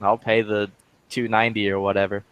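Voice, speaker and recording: A man's voice: lowish voice, flat delivery, fairly quick, clean tone, lo-fi sound. A man in casual group chat, telling stories and laughing often.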